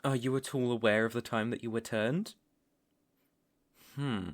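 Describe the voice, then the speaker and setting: A young British man playing a stern, taunting vampire hunter in a roleplay audio drama, speaking deliberately and with cool menace. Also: medium pitch, fairly even intonation, close to an everyday voice. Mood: probing, intent. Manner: slow, deliberate, threatening.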